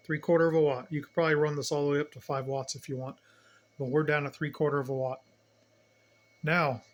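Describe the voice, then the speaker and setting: Man with a higher-pitched voice explaining step by step. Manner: plain-spoken, step-by-step explaining, repeats key points. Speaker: hobbyist demonstrator, channel host. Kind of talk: hands-on equipment tutorial, unscripted demonstration.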